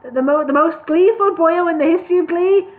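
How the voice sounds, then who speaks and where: Flustered stammering troupe leader, put on by a woman, high and hurried, phone-thin audio. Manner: hesitant, full of ums and uhs. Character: flustered dithering male leader of singers. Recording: tinny phone-like sound.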